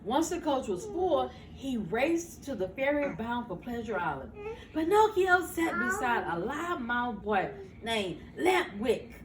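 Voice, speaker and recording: High-voiced older woman reading animatedly, roomy recording. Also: a little slow, a crowd in the background. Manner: expressive, with stumbles and self-corrections. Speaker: grandmother reading to her grandchildren. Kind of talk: animated bedtime storybook reading. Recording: roomy, noisy home recording, far from mic.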